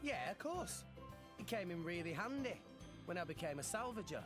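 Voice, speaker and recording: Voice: mid-range voice, sing-song, normal speed, music in the background, close to an everyday voice. An actor playing a cheerful, optimistic teenage boy salvager in a video game, upbeat and eager. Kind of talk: video game cutscene acting.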